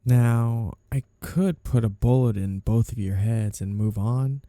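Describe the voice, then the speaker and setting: A man playing a flirty, two-faced mafia boss in an audio roleplay, menacing and obsessively in love, smooth-talking and teasingly polite as he threatens. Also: medium pitch, measured pace, warm tone, no echo, clean studio sound, close to an everyday voice. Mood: calmly menacing. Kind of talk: audio roleplay ASMR acting.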